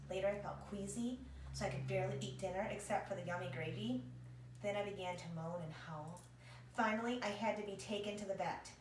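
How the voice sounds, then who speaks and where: A self-pitying, indignant pet dog, played by a woman pleads and grumbles theatrically in an expressive storybook reading. The sound is echoey, picked up at some distance from the microphone. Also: mid-range voice, a little hiss or hum, close to an everyday voice. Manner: theatrical, wheedling complaint. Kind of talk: expressive picture-book read-aloud.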